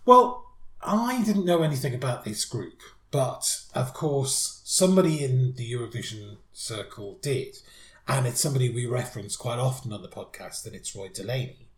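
A man's voice: mid-range voice, animated, moderate pace, fairly bright tone. Chatty man in an echoey room. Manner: chatty, thoughtful, many restarts. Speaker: podcast co-host. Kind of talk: casual chat reviewing songs. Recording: roomy echo.

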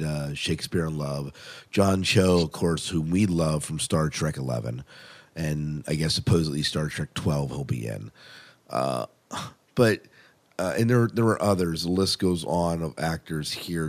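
Male podcast co-host, deep voice, casual and enthusiastic reviewer with emphatic delivery. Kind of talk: casual review chat about TV premieres.

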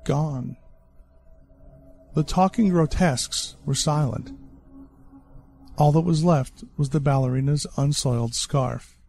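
A man narrating a horror story in an expressive, dramatic scripted reading. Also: fairly high pitch, creaky.

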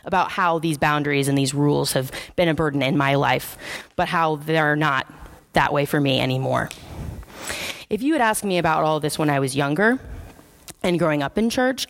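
Woman preacher, lower register, casual and self-mocking sermon delivery. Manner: conversational, wry, self-deprecating. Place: church sanctuary.

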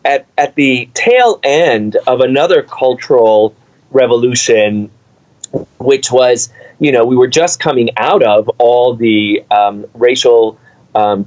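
A middle-aged man from Cuba recalls his path into dance in a loose, animated interview manner. He speaks at an ordinary pitch, often pausing on fillers as he builds his story. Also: low-quality recording. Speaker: artistic director of a dance company. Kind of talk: conversational interview answers.

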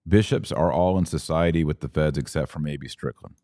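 Man, deep voice, podcast host, earnest, reading viewer comments, dry room. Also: fairly quick, mellow, no room sound. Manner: earnest, conversational, rising to indignant emphasis.

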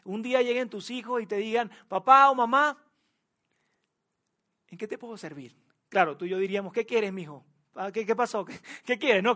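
High-voiced Venezuelan man preaching. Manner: lively, repeats key phrases for emphasis, addresses audience directly. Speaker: pastor. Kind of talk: sermon.

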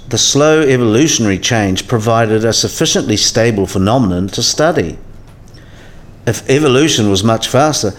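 A man's voice: medium pitch, natural voice. A man playing a patient, scholarly professor in a radio play, explaining things in a didactic way.

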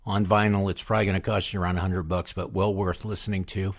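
A man's voice: low voice, flat delivery, fast talker. A man hosting a music show, chatting with a guest and introducing songs. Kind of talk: music show interview chat.

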